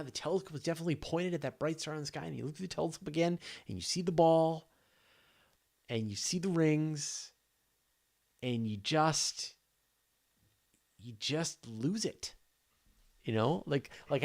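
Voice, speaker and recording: A man's voice: on the high side, darkish tone. A man hosting a live astronomy question show, chatting casually and off the cuff with informal enthusiasm.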